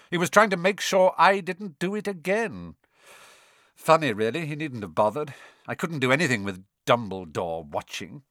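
A man's voice: on the high side, a bit bright, dry recording, clean studio sound, pitched above the performer's own voice. A man voicing a cold, smug male villain in an audiobook, mocking and coolly casual in his menace. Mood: scornful, menacing. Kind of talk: audiobook character acting.

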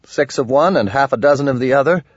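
A man's voice: mid-range voice, fast, breathy edge, no echo, lo-fi sound, voice pushed up, lightly acted. A man voicing an irreverent, folksy adventurer who talks in loose, slangy, plain terms in an audiobook. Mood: wry, casual. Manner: colloquial, slangy, loose grammar.

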